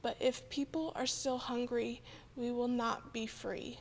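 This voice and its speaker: A woman's voice: on the high side, steady tone, unhurried. A woman reading a historic letter and quotations aloud as a scripted recitation. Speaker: church member reading quotes aloud.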